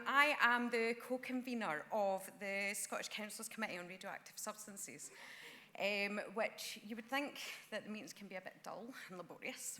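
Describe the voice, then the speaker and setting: A woman's voice: a little high, bright, forceful tone, slight background noise. A woman councillor giving an earnest conference floor speech at a lectern, with frequent ums. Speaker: councillor speaking at a party conference. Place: large conference hall. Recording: long hall reverb.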